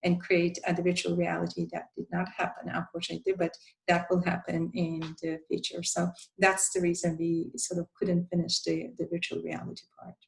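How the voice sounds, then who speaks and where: A woman presenting a design guide, a native Turkish speaker who talks in a halting way with many fillers and restarts. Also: lowish voice, monotone, gravelly, low-quality recording. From Turkey. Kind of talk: conference presentation.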